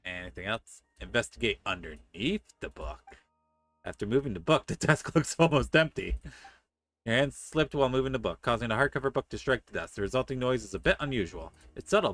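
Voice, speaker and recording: A male game streamer with a mid-pitched voice who reads on-screen text aloud at a quick clip. He slips casual, joking asides between the passages, with faint music behind him. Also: sing-song. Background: soft game music.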